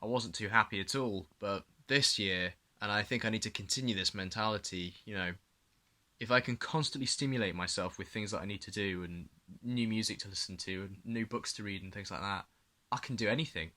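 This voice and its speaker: A young man recording a video diary, earnest and excitable, repeating himself for emphasis. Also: lowish voice, brisk. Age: young adult. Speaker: vlogger.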